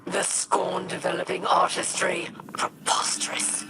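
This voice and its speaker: A woman's voice: on the low side, completely flat delivery, unhurried, background noise. A woman playing a proud, scornful alien warrior in a video game, haughty and formal in her speech.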